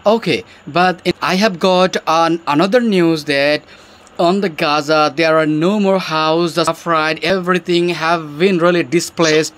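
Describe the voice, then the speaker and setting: High-voiced Bangladeshi man asking questions. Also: fairly even intonation, unhurried, fairly bright tone. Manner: questioning, nonstandard English phrasing. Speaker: channel host. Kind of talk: English practice conversation.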